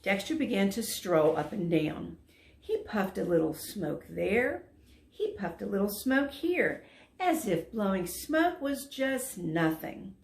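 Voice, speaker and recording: A woman volunteer narrating a children's story aloud in an expressive, emphatic storytelling manner. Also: medium pitch, slow pace, gravelly. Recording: roomy echo.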